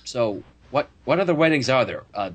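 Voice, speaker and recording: An expressive reader narrating a fan fiction story, with casual asides along the way. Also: mid-range voice, moderate pace, slightly rough.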